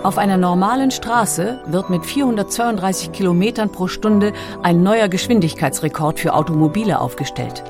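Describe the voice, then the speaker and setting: A woman reading scripted documentary narration in a measured, factual manner. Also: mid-range voice, over music.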